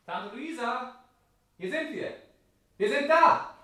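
An actor playing a sardonic, grumbling young man, brisk and jealous, in a 1960s German radio play. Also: very high voice, expressive, bright, forceful tone, exaggerated voice. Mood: calling out.

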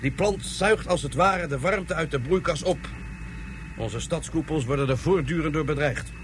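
A businesslike guide lays out facts in a plain, informative tone, his voice slightly raised in pitch, played by a man in a radio play. Faint hiss sits beneath the old recording. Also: normal speed, lightly acted.

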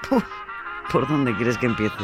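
An insistent low-voiced Spanish friend, animated. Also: female voice, measured pace. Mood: exasperated. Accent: Spain. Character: insistent, argumentative female friend with odd theories. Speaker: co-host and actor. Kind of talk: colloquial scripted audio comedy. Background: music.

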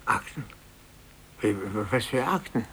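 An actor playing a browbeaten, blustering, middle-aged office clerk who stammers and gets flustered, in a 1950s German radio play. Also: male voice, medium pitch, animated, unhurried, a bit bright. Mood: flustered, evasive. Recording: hissy 1950s radio recording.

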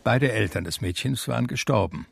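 An actor playing a wistful blind pianist who narrates his life in a radio drama, measured and reflective, with a gravelly voice. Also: mid-range voice, expressive. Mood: reminiscing. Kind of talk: literary first-person radio drama narration.

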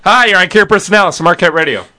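Loud, joking young male radio host. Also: high voice, fast talker, no room sound. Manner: loud, joking, self-mocking. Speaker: college radio show host. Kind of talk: casual studio banter. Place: college radio studio.

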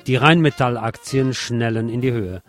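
A man's voice: mid-range voice, normal speed. A middle-aged man reading a scripted satirical radio column with ironic, theatrical emphasis. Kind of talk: scripted satirical commentary and literary reportage. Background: music behind the voice.

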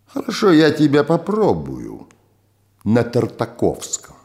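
A man voicing a wary older male gang boss who speaks curtly and gruffly. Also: medium pitch, wildly swooping pitch, slow, a little mellow, pitched above the performer's own voice, exaggerated voice. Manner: gruff, curt.

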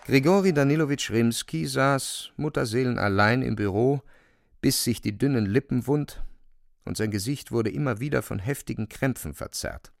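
Creaky-voiced male narrator. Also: medium pitch, dry recording, studio quality. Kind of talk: literary narration in radio drama.

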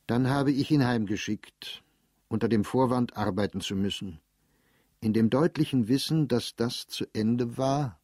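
A man reading a literary diary aloud in a quiet, introspective, measured way, recorded close in a studio. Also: mid-range voice, dry recording.

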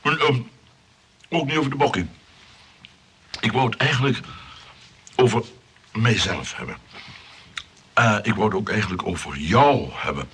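An actor playing a lonely, pompous suitor in a comic radio play, stammering and repeating himself with dramatic pauses. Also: male voice, on the low side, expressive, a bit bright, a little hiss or hum. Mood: nervous, hesitant. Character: lonely, pompous, pleading, spiteful male suitor.

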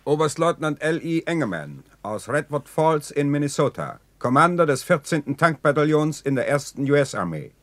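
A tank battalion lieutenant colonel in a 1960s radio drama. His fairly high voice moves animatedly through a formal military delivery. The sound is dry. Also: sing-song, normal speed, hardly any pauses, fairly bright tone, no room sound. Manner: formal military self-introduction. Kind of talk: 1960s German radio play acting.

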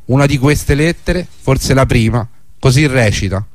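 A man's voice: medium pitch, unhurried, dry recording, faint music behind. Dry-sounding male radio narrator.

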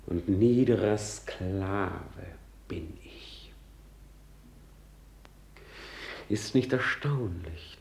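Tormented prince brooding on revenge, slow theatrical delivery, whispers to outcries, echoey radio play sound. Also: male voice, medium pitch, darkish tone. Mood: anguished. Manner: declamatory, swinging from whispers to outcries. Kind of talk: classical stage-style Shakespeare radio drama monologue. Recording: roomy, echoing radio drama studio.

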